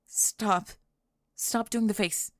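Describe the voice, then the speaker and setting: A woman's voice: mid-range voice, fairly even intonation, no echo, studio quality, natural voice. A young woman acting out a prickly, flustered goth girl student with a secret crush in an ASMR roleplay, sarcastic and defensive. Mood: flustered, rambling. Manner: sarcastic, defensive, halting and stammering when flustered. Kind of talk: ASMR roleplay acting, one-sided dialogue.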